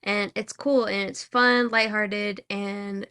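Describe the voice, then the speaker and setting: Unhurried, dry-humored young woman. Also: medium pitch, steady tone, pushed and bright, clear, resonant tone. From Thailand. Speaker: podcast co-host. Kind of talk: casual chat.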